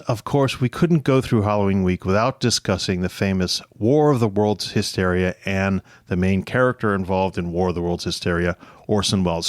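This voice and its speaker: Man, fairly low pitch, interview host, conversational questioning, close dry sound. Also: no room sound. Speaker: podcast host interviewing experts.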